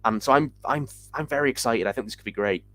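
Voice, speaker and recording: A man's voice: medium pitch, fast talker, a bit bright, no echo. A man co-hosting a podcast who previews an upcoming show with enthusiasm, hedging his points with "I think.". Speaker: podcast co-host. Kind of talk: casual podcast chat.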